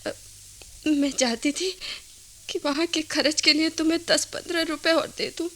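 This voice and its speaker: A woman's voice: high-pitched, steady tone, moderate pace, bright, forceful tone. A woman playing a poor, devoted, emotional village mother with a trembling voice in a radio play. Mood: tearful. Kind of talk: melodramatic radio play acting.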